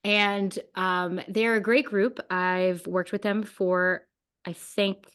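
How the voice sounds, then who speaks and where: A woman's voice: medium pitch, fairly even intonation, slow, a bit bright, clear, resonant tone. Bubbly woman podcast host. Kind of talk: casual chatty interview.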